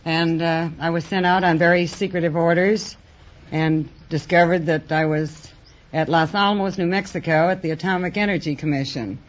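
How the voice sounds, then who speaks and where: A woman from Chicago with an American accent, chatting and telling stories as a quiz contestant. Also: fairly low pitch. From Chicago, USA. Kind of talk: conversational storytelling on radio. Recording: dull old radio recording.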